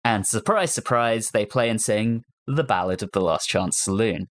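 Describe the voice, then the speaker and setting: A man's voice: on the low side, moderate pace. A man hosting a casual panel discussion podcast, talking conversationally with fellow hosts. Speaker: podcast host.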